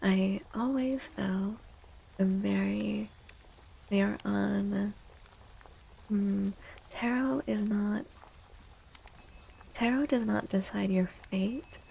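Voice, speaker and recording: A woman speaks softly in an ASMR livestream style, often half-whispering. Her voice is dark and breathy with plenty of creaky fry. She murmurs gently and takes her time as she chats. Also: mid-range voice, drawn-out words, long pauses, poor audio. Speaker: livestream host and tarot reader. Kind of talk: soft-spoken ASMR livestream chat.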